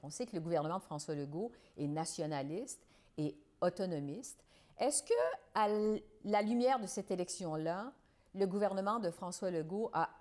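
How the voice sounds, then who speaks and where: A woman hosting a political interview, asking measured, precise questions. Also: low voice, a little mellow. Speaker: interviewer, show host.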